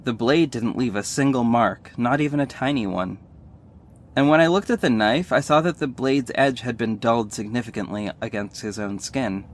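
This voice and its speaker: A man's voice: medium pitch, normal speed, slight background noise, close to an everyday voice. A man playing an earnest, emotional young superhero, telling his story in dramatic first-person audio drama narration. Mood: steady, intrigued.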